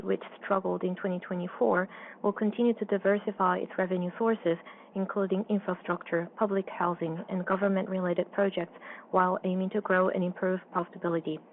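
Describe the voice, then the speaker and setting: Even-toned woman on a muffled business call. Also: mid-range voice. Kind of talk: formal financial results presentation, interpreted. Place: conference call line. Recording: dull, slightly noisy call audio.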